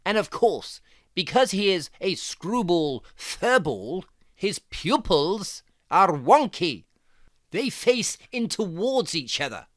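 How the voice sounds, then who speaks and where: A performer voicing a jaunty, fussy fox who gives playful, step-by-step drawing lessons for children in a theatrical, deliberate, encouraging way. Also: mid-range voice, big pitch swings, no room sound, clean studio sound. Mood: playfully bossy. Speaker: presenter of children's drawing tutorial.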